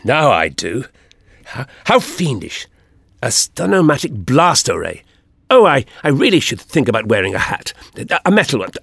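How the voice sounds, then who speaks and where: A man's voice: medium pitch, huge pitch swings, normal speed, creaky, fairly bright tone, husky, no echo, studio quality, full character voice. A man voicing a clever, whimsical time traveller who muses and stammers, in an audiobook. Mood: dazed musing. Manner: hesitant, stammering, musing.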